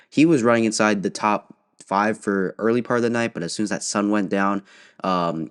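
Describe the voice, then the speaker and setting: A man's voice: low voice, clear, resonant tone. A young man with a cold, sniffling, hosting a casual solo race recap podcast with many fillers and restarts. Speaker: podcast host.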